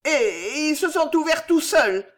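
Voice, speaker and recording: Woman acting a flustered, high-pitched old woman. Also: big pitch swings, unhurried. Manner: voluble, protesting, pleading. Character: flustered, devout, gossipy old woman, anxious to please. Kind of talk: storybook character acting.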